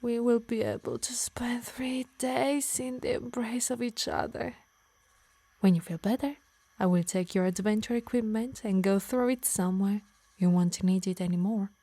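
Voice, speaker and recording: A woman plays a yandere harpy, doting on her beloved while quietly controlling her. The voice is breathy and mellow with a creaky edge, often dropping into whispers. It is intimate ASMR roleplay, teasing and menacing by turns. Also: fairly high pitch, fairly even intonation, moderate pace, no room sound. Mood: possessive, controlling. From Italy. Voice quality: breathy, soft. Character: possessive yandere female harpy, doting yet menacing lover. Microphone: close, dry microphone.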